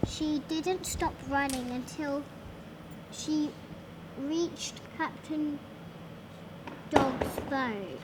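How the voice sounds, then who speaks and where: A five-year-old girl reading a children's storybook aloud, haltingly sounding out words with care. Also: high-pitched, background noise. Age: child, about five. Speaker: young reader.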